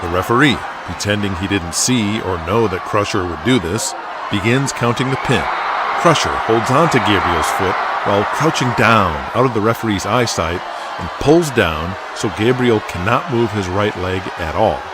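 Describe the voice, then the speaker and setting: A man's voice: lowish voice, animated, normal speed. A man narrating a scripted audio drama. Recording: loud noise behind the voice.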